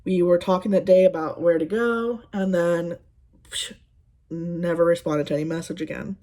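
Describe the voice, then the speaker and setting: A woman's voice: lowish voice, steady tone, normal speed, mellow. A woman in her thirties chatting casually while doing her makeup, telling rambling, sarcastic dating stories with lots of ums. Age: 30s. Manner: sarcastic, exasperated storytelling. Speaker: beauty vlogger. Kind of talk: casual get-ready-with-me chat.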